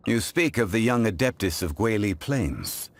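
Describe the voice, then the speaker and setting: A male actor playing a calm, dignified, ancient immortal man in a video game, speaking in a measured, formal, refined way. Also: fairly low pitch, a little hiss or hum. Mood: grave. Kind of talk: scripted video game character voice lines.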